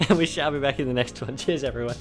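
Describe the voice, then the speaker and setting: A man's voice: medium pitch, fast, soft background music. Male podcast co-host with a strained, fading voice; chatty, giggly, rambling delivery. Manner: rambling, laughs often between phrases. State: losing his voice. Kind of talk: casual gaming chat.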